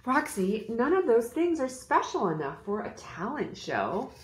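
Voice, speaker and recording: A woman's voice: fairly high pitch, very animated, measured pace, slight background noise, pitched above the performer's own voice, low-quality recording. A woman voicing a shy, self-doubting young male dinosaur-unicorn in a downcast, plain-spoken way for a storybook. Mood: doubtful.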